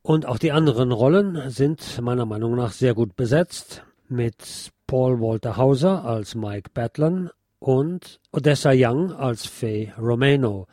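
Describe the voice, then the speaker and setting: A man narrates a scripted film review in a mellow voice of medium pitch. The recording is dry and close, with no room sound. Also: measured pace, dry recording.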